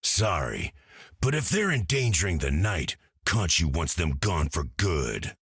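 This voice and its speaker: A grim vigilante who guards the night in a video game. His voice is deep and heavily rasped, and he speaks tersely. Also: sing-song, measured pace, a bit bright, no echo, clean studio sound, full character voice. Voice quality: husky, raspy. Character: grim male vigilante serving a night god.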